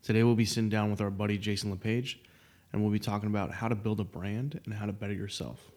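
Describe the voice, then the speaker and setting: A man's voice: lowish voice, steady tone, fast talker, darkish tone. A man in his twenties co-hosting a podcast, casual and joking, with loose phrasing and many fillers. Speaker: podcast co-host.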